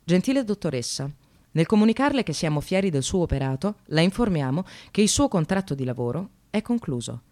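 A woman's voice: on the low side, sing-song, a little fast, a little mellow, no echo, clean studio sound. Woman as coldly formal office bureaucrat. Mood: coldly polite. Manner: official, formulaic, courteous. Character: formal, cold human resources office reading dismissal email. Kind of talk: theatrical radio monologue.

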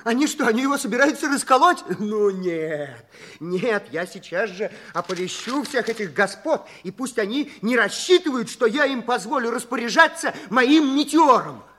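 An actor playing an excitable, indignant, fussy man in a 1960s Soviet radio drama, exclaiming and repeating himself in agitation. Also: very high-pitched, moderate pace, heavily acted. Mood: indignant, outraged.

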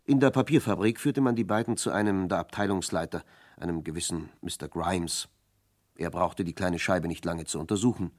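A man's voice: low-pitched, brisk. A man narrating a scripted German radio crime drama.

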